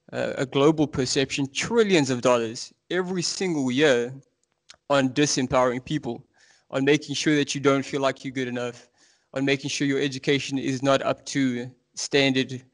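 A man in his thirties from South Africa, with a South African accent, talking earnestly and at length as a podcast guest. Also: medium pitch. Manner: earnest, fast-flowing, passionate explaining. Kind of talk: casual interview talk.

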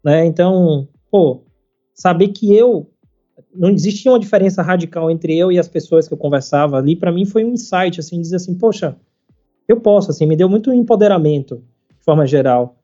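A man, chatting casually as a podcast guest, halting and full of fillers and casual slang. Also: high-pitched, mellow. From Salvador, Brazil. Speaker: startup founder, podcast guest. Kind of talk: casual conversational interview. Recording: slightly muffled, faint background music.

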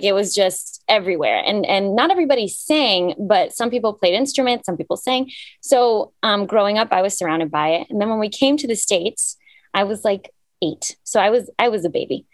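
A young woman from Cyprus tells her story in a chatty, upbeat way, full of "like" and "you know." Her voice sits at an ordinary middle pitch. The recording is close and dry, with no room sound. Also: dry recording. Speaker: singer guest. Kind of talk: casual interview chat.

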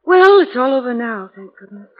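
A woman's voice: fairly high pitch, sing-song, fairly quick. Earnest lady sleuth's companion. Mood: dismayed, relieved. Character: earnest lady companion of a detective, astonished and sympathetic. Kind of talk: 1940s radio drama acting. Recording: muffled vintage 1940s radio recording.

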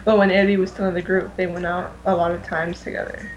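A woman's voice: lowish voice, level tone, fast talker, lo-fi sound. A woman co-hosting a podcast in casual chat, informal and peppering her talk with filler phrases, over a noisy internet call. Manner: informal, frequent "like" and "I mean".

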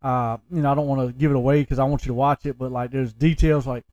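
A man co-hosting a podcast, chatting casually about films in an animated, informal storytelling way. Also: mid-range voice, quick pace, highs cut off. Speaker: podcast co-host.

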